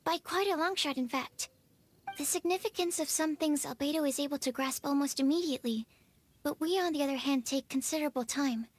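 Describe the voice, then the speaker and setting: Actress as young female game alchemist, high-pitched, thoughtful and inquisitive, slight background noise. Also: a little fast, close to an everyday voice. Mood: reflective. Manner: hesitant, thoughtful, polite. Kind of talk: video game cutscene acting.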